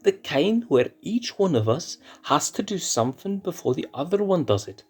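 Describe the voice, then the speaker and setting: Dude doing a high squeaky teasing lion cub, sly and snarky, soft music behind. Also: male voice, very animated, normal speed, slightly rough, heavily acted.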